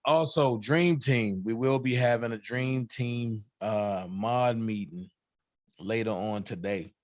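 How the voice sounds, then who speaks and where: A hoarse man hosting a livestream, chatting casually and hyping up shout-outs to fellow channels. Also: mid-range voice, slow pace, no room sound, studio quality. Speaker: livestream host.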